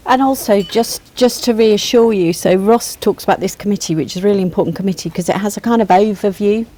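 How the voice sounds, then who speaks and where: A woman on the university's senior team answering student questions on a panel, measured and reassuring, often restarting her sentences. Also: medium pitch, dark tone.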